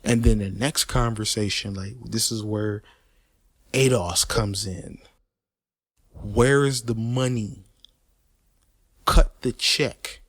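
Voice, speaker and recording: A man's voice: lowish voice, takes it slowly, long pauses, slightly rough, dry recording, clean studio sound. A man delivering an emphatic, unscripted opinion rant, swearing freely and restarting words often. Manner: animated, emphatic and repetitive, with frequent restarts and stutters; swears freely, street slang. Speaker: host of a personal commentary channel.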